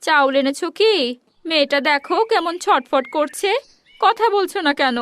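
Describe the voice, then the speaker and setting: A woman's voice: high-pitched, animated, moderate pace, a bit bright. An actress playing a worried, nagging wife and mother from a poor village in a cartoon, complaining and beseeching. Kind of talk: cartoon dubbing.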